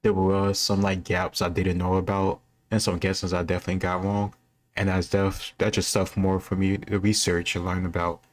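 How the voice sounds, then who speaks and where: A man with a very deep voice talks casually as a reaction video host. His intonation stays quite flat as he reasons aloud and shares opinions in an unscripted way. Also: normal speed. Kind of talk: casual off-the-cuff commentary.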